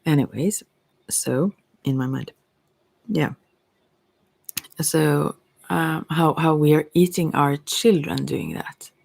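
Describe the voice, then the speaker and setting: Creaky asmr host, androgynous, close dry sound, kinda rambling and thoughtful. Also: medium pitch, slow, pauses often, a little mellow, dry recording, poor audio. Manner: hesitant, meandering, reflective. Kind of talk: ASMR, rambling personal talk.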